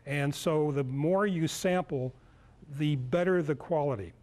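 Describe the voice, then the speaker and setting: A man in his sixties giving an informal live demonstration as a lecturer, halting as he searches for words. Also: on the high side, darkish tone. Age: 60s. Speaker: lecturer at a club workshop. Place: indoor meeting room, speaking to a group.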